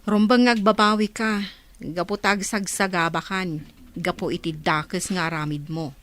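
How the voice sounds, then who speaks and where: A woman's voice: fairly low pitch, steady tone, moderate pace, fairly bright tone, natural voice. A woman with an Ilocano Filipino accent acting a stern aunt giving advice in a radio drama. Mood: admonishing. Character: stern, advising aunt of a prisoner.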